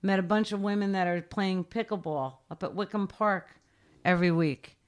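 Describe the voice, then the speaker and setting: A woman's voice: medium pitch, normal speed. A woman in her sixties, a guest in a casual interview, speaking emphatically and directly with short, punchy repeated advice. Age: 60s.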